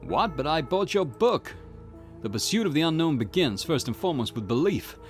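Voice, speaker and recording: A man putting on a high-pitched, naive occult fan. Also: big pitch swings, normal speed, faint music behind. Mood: dismayed, earnest. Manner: gushing, earnest, innocent. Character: naive, excitable occult fan, easily shocked. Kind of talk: acted visual novel dialogue.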